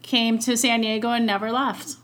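A woman's voice: on the high side, steady tone, moderate pace, fairly bright tone. A woman in her thirties, a coach born in Iran, chatting casually and upbeat as a podcast guest. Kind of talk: casual interview chat.

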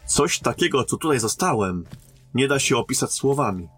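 A man plays a royal emissary and captain from a fantasy radio play. His mid-range voice is slightly rough and husky, measured and courteous, with soft music behind. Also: medium pitch, expressive. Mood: reflective. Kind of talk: fantasy audio drama acting.

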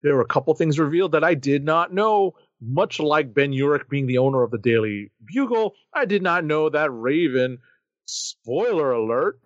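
A man's voice: on the high side, normal speed, no echo, muffled recording. A man co-hosting a comic book podcast, running through new issues and their credits in a casual chatty way. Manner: lively, reads credits then chats. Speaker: podcast co-host.

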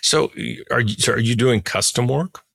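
A man's voice: mid-range voice, moderate pace, vocal fry, a bit bright, no room sound, studio quality. A man hosting a casual interview podcast in a laid-back, conversational way. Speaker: podcast host.

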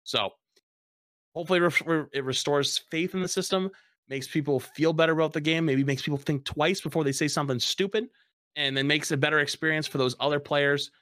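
A man's voice: fairly high pitch, fairly even intonation, a little fast, a bit bright, no room sound, clean studio sound. A young man speaking bluntly in a casual panel discussion, swearing freely as a streamer sharing his view.

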